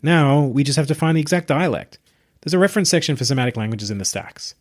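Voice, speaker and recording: Audiobook role: sardonic young woman student, higher register, dry and reluctant, performed by a male reader. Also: animated, fast talker, natural voice. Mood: dry, chiding. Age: young adult. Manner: dry, grumbling, blunt. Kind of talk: audiobook character voice.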